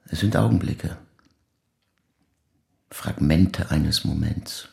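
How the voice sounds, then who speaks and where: An actor playing a grieving older man who speaks reflectively and slowly in a measured, pensive audio drama monologue. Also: mellow, full character voice. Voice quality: very deep, gravelly, breathy at phrase ends. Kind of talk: slow literary dramatic monologue.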